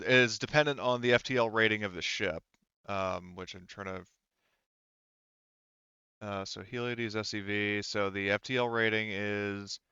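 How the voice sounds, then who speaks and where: A man explaining things as a tabletop game master, halting and thinking aloud in a casual way, on slightly dull audio. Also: on the low side, sharp tone, smooth, no echo.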